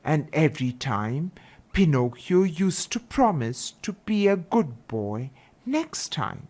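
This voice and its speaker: Slow, expressive woman storyteller. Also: low-pitched. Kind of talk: children's fairy tale narration.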